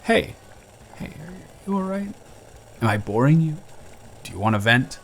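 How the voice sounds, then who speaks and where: A man's voice: a little high, sing-song, normal speed, vocal fry, a little hiss or hum. A young man playing a chatty, friendly barista who is sweet on a friend, in an intimate one-sided audio roleplay with casual, slightly stammering delivery. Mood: tentative, concerned. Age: young adult. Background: music.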